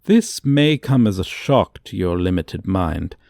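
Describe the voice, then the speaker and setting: A man plays a condescending dragon professor with a lowish voice that rises and falls dramatically. He speaks very slowly, in pompous, old-fashioned formal phrases, as in an ASMR fantasy roleplay. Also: sing-song, creaky, darkish tone, no room sound, clean studio sound, heavily acted. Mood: lofty, disdainful. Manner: pompous, archaic, formal diction. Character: haughty dragon professor, condescending, lecturing a human student.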